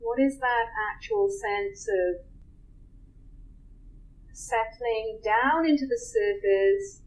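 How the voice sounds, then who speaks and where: A woman leading a guided relaxation, speaking softly and unhurriedly with long pauses. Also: medium pitch, low-quality recording.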